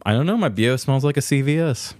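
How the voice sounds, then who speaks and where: A man's voice: mid-range voice, fast, darkish tone, no echo, studio quality. A man co-hosting a podcast in casual comedic banter, telling rambling, self-deprecating stories. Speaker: podcast co-host.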